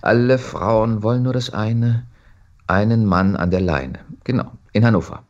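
A man chatting casually as an actor being interviewed, telling stories in an animated way. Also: lowish voice, unhurried, muffled recording.

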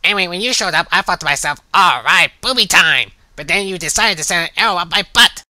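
A man's voice: high-pitched, animated, quick pace, bright, forceful tone, no room sound, exaggerated voice. A man voicing a pompous, lecherous bandaged villain in a parody anime fan dub, theatrical and easily exasperated. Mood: boastful.

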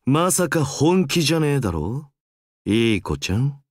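Flippant, drawling young assassin. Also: male voice, medium pitch, sing-song, measured pace, no room sound, clean studio sound. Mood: resigned, taunting. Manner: drawling, slangy, teasing. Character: flippant, cynical young male assassin hiding guilt. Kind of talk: Japanese video game voice acting.

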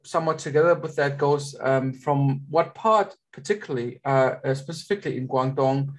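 A man's voice: medium pitch, moderate pace. A young man moderating a webinar, reading out audience questions with many hesitations, over a video call.

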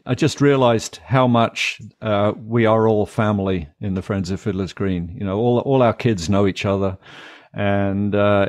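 An older man from Britain, chatting as a guest musician in a relaxed, rambling way full of fillers and restarts. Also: lowish voice, dry recording, studio quality. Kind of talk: casual interview talk. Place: home music studio room. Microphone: studio mic, headphones on.

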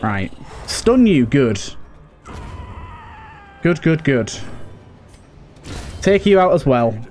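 A man's voice: on the high side, big pitch swings, drawn-out words, a little mellow, soft background music. A man giving casual let's play commentary as a gaming host, talking to himself and to the characters on screen. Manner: talks to himself and to game characters.

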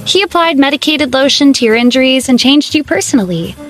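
A woman voicing a gentle, reassuring maid in an audiobook. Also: high voice, expressive, fairly quick, soft background music, voice pushed up. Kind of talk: audiobook character dialogue.

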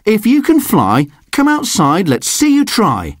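Reader playing a mocking bully dog, taunting, slow, lifted pitch. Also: wildly swooping pitch, dry recording, studio quality.

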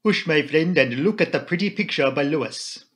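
A man plays a keen, teasing detective in an audiobook story, with a raised, theatrical voice. He speaks deliberately and knowingly, with courtly flourishes. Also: fairly high pitch, lively intonation, a bit bright. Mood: playful.

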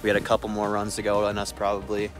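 A man's voice: on the low side, fast talker, over loud music. A young man in his twenties chatting casually to an action camera outdoors at a ski resort. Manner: relaxed, chatty, upbeat. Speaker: vlogger. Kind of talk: casual vlog narration while skiing. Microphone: action camera on chest harness.

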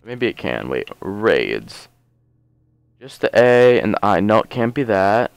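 A man casually commenting on his gameplay, rambling and joking as he thinks aloud, a little hoarse from a long session. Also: on the low side, big pitch swings, takes it slowly, no room sound. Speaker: let's play host.

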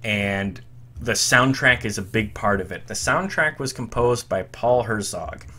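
A man in his thirties hosts a retro music podcast from his home room. His tone is bright and forceful, and he talks like an eager, emphatic fan. He sits at a desk mic. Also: on the low side, lively intonation, measured pace. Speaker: podcast host. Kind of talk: enthusiastic retro music commentary.

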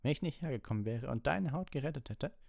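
A man's voice: fairly low pitch, animated, fast, warm tone, no room sound, clean studio sound, voice pushed up. A man voicing an indignant, hot-headed teenage boy wizard in an audiobook.

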